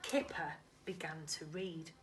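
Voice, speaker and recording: A woman's voice: low voice, steady tone, slow, distant mic, background noise. A woman reading a children's story expressively, dramatic and addressing young listeners directly. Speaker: primary school teacher reading to children.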